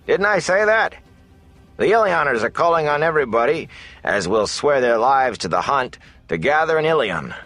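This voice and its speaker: A man voicing a chatty, excitable middle-aged innkeeper with folksy, gossipy talk in a fantasy audiobook. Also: mid-range voice, moderate pace, faint music behind, voice pushed up. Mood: excited, gossipy. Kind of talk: fantasy audiobook character dialogue.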